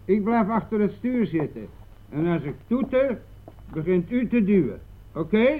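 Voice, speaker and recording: Mopperende, ongeduldige buschauffeur, hoge mannenstem. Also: male voice, sits high, animated, normal speed. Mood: brusque, instructing. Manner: grumbling, cursing, brusque. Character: gruff, impatient male bus driver with a temperamental old bus. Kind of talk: radio play acting. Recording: muffled, narrow-band, noisy old radio play.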